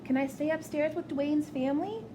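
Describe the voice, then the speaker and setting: Woman voicing a sleepy, curious little storybook boy, raised pitch. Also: moderate pace, noisy background, close to an everyday voice. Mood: pleading.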